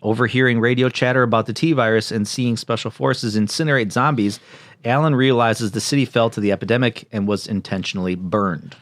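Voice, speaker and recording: A man's voice: medium pitch, fairly even intonation, fairly quick, no echo. A man co-hosting a podcast, casually reading a plot recap from notes and joking along. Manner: jokey, prone to laughing. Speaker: podcast co-host.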